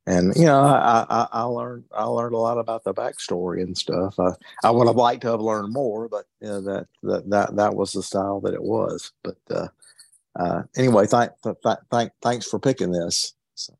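A man talking casually as a member of a book group, haltingly, repeating and stumbling over his words again and again. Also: on the low side, creaky, dry recording. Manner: halting, heavily stammered repetitions of words.

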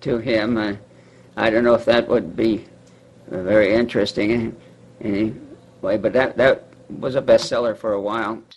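A man with a husky, rough-edged voice talks casually in a reading group. He hesitates often as he hunts for the next word. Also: on the low side, steady tone, normal speed, rough recording. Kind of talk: casual book club discussion.

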